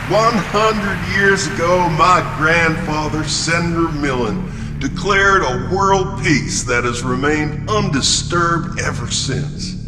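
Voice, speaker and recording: A man playing a proud statesman giving a lofty, deliberate ceremonial address. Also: fairly high pitch. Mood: proud, uplifting. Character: proud male statesman, senator's grandson, giving commemorative address. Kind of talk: ceremonial political oratory. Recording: echoey hall, music behind.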